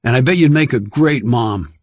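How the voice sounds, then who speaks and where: A man's voice: mid-range voice, normal speed, darkish tone, lightly acted. A man voicing an earnest, curious, slightly awkward young boy in an audiobook. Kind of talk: audiobook character dialogue.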